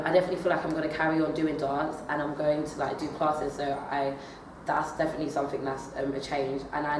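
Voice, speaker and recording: Young woman, drama student, low voice, hesitant with fillers, distant noisy echoey recording. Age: young adult. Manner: hesitant, many fillers and restarts. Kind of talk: assessment interview answers. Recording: echoey room, far from the mic, noisy.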